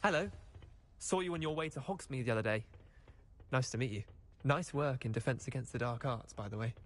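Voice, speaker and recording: An actor playing a cheeky, eager teenage wizard schoolboy with a British accent and chatty, cocky manner in a video game. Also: male voice, medium pitch, fairly quick, natural voice. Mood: friendly, impressed. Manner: chatty, boyish, colloquial, cocky. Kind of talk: video game character acting.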